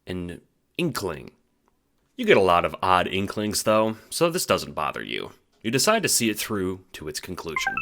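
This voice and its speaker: Animated low-voiced man narrating a story. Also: moderate pace. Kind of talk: scripted dramatic second-person storytelling.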